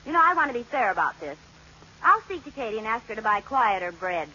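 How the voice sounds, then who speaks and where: Wisecracking housewife, fairly high-voiced. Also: female voice, very animated, quick pace, pushed and bright. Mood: teasing. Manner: dry, teasing comic delivery. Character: wisecracking, playfully sarcastic suburban housewife. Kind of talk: 1940s radio sitcom acting. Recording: scratchy muffled old-time radio recording.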